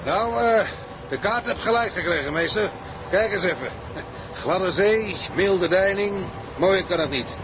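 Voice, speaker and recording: An actor playing a plain-spoken, hearty tugboat bosun in a Dutch radio drama. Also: male voice, high voice, measured pace. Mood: matter-of-fact. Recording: clear outdoor sea and engine noise.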